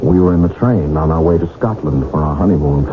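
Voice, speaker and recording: An actor playing an earnest, lovestruck young gentleman in a radio drama, speaking formally and ardently. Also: very deep voice, a little fast, noisy background. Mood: wistful narrating. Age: young adult. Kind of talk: radio drama acting with first-person narration. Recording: muffled vintage radio recording, music behind.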